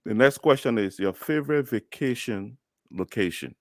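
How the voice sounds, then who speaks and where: A man's voice: mid-range voice, lively intonation, normal speed, dry recording, studio quality. A man from Liberia hosting a podcast interview, chatty and friendly, laughing easily as he asks questions. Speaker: host.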